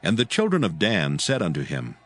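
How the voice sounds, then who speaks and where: Deep, expressive male scripture narrator. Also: brisk, a faint crowd. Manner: solemn, storytelling. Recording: faint music behind the voice.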